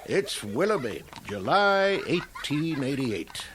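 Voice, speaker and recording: A man playing an easygoing, old-fashioned small-town train conductor in a radio drama, folksy and unhurried. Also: on the high side, big pitch swings, background noise. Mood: folksy, calm. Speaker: actor.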